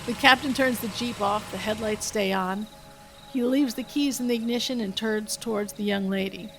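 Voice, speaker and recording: A woman's voice: mid-range voice, moderate pace, vocal fry, a bit bright, soft background music. A woman giving scripted narration, reading out stage directions between lines of dialogue.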